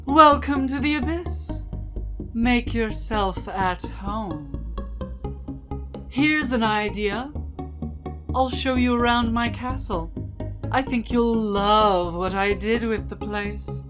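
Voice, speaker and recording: Demon fly queen played by a woman: playful, grand, theatrical game-style villainess, slow delivery over soft music. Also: on the high side, big pitch swings. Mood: grandly welcoming. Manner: theatrical, drawn-out, grandly welcoming. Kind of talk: dramatic reading of game dialogue.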